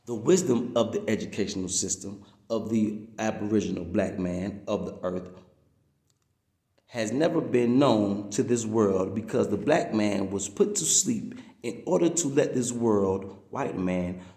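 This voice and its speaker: A man with a fairly low voice reads a written message aloud like a preacher. He leans on key words and stretches them out for emphasis. The recording is roomy, with a clear echo around his voice. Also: expressive, a little slow, dark tone. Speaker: reader reading a text aloud. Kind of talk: read-aloud of a religious-political message, preaching delivery.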